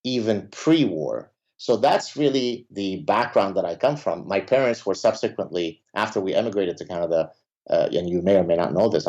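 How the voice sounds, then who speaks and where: A man from Lebanon, a native Arabic speaker, talking in a conversational interview, often pausing on fillers, then stressing points with emphasis. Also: deep voice, darkish tone. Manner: hesitant with many fillers, emphatic. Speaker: podcast guest, professor. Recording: dull lo-fi sound.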